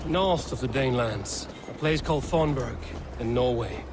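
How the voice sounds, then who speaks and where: A man playing a stoic, wry Norse warrior in a video game, with a measured, dry delivery. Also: medium pitch, noisy background, close to an everyday voice.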